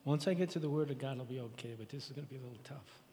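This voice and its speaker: A man with a raspy voice giving a halting, emotional memorial address and reading scripture in a church. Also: medium pitch, mellow, big-room sound, a little hiss or hum. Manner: halting, tearful, repeats words. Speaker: speaker at a memorial service.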